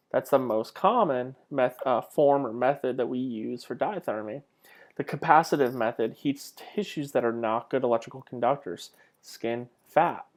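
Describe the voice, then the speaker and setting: A man delivering an informal narrated slide lecture as a college instructor, conversational and emphatic on key points, often posing questions to his students. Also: medium pitch. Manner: conversational, asks rhetorical questions.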